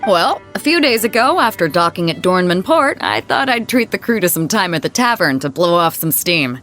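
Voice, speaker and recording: A woman playing an easygoing, hearty sea captain in a video game, talking in a breezy, informal, expressive way. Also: lowish voice, normal speed, soft background music. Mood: storytelling.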